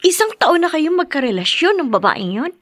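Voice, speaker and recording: Resentful grieving daughter, Filipino radio drama, very high voice, tearful, pleading, dry close sound. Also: female voice, very animated, brisk, no room sound. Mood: angry, tearful. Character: grieving, resentful daughter hurt by father's remarriage.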